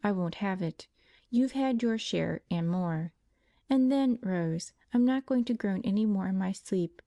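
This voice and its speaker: A woman's voice: mid-range voice, animated, measured pace, mellow, no echo. A woman voicing a brave young working woman who comforts her invalid sister. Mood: reassuring.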